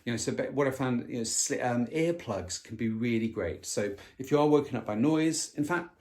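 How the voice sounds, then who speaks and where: A man giving sleep advice in a casual chat, often pausing with fillers and restarting his sentences. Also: mid-range voice, a little mellow. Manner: hesitant, many fillers and restarts. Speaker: co-host.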